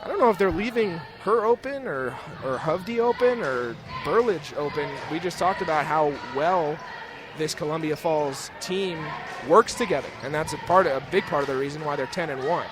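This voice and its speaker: A young American man calling high school basketball as a live radio play-by-play announcer. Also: normal speed. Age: young adult. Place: indoor high school gym, courtside. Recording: noisy gym ambience. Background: bouncing basketballs, gym noise.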